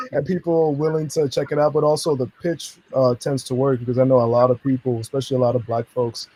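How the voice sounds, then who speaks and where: Mellow-voiced man chatting easily. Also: medium pitch, level tone, quick pace, no room sound, rough recording. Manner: friendly, easygoing, laughs often. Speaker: podcast guest, comic creator. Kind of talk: casual podcast chat.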